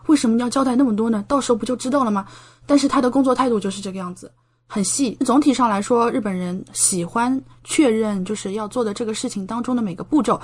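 Young woman, slightly high voice, podcast guest, chatty, conversational, illustrative explanations. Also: breathy edge. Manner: chatty, explains with many concrete examples. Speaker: podcast guest, medical PhD student studying in Japan.

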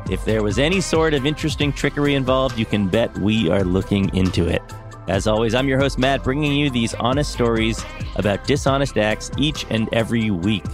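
A man hosting a podcast, reading scripted narration in an upbeat, wry way and asking interview questions. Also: fairly low pitch. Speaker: podcast host.

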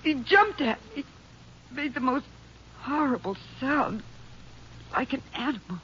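A woman acting a shaken young schoolteacher in a 1950s radio drama, halting and distressed. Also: sits high, lively intonation, fairly bright tone, a little hiss or hum. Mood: horrified, shaken. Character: shaken young female schoolteacher, frightened attack victim. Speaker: radio actor. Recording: muffled old 1950s radio recording.

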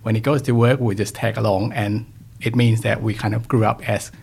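Podcast guest, young man from Thailand; lowish, gravelly voice, reflective and open. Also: steady tone, a little fast, a little mellow. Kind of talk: casual interview conversation.

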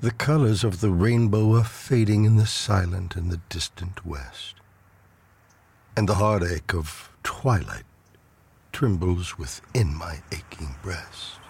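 Deep, slow man reciting poetry dramatically. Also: gravelly, a little mellow, slightly rough. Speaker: poetry reader. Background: ambient sound design.

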